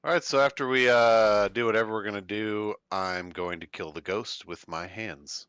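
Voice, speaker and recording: A man's voice: fairly low pitch, normal speed, a bit bright, clear, resonant tone. A man playing a tabletop game with brash, cocky, profane banter, recorded with slight noise and clicks. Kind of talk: casual joking in-character banter.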